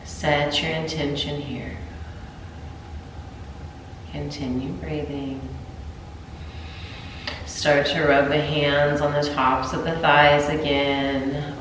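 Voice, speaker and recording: A yoga teacher with a stuffy sinus cold talks her class through breathing and stretches in a very low, slow voice. She clears her throat now and then. She sits some way from the camera, and the room gives a strong, long echo. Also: distant mic, background noise. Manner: calm, gentle cues with throat clearing. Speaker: yoga instructor. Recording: roomy echo, voice from afar.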